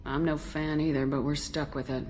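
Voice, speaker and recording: A woman playing a cool, direct starship commander in a video game, measured and authoritative. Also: low voice, little pitch movement, darkish tone, slight background noise, natural voice. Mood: firm. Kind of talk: video game dialogue acting.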